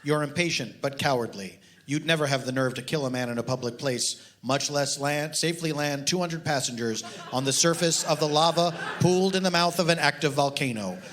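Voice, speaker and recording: A man's voice: fairly high pitch, a little fast, hardly any pauses, a bit bright, close to an everyday voice. A man voicing a grave, self-important retired pilot turned detective in a comic story. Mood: accusing. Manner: grave, pompous.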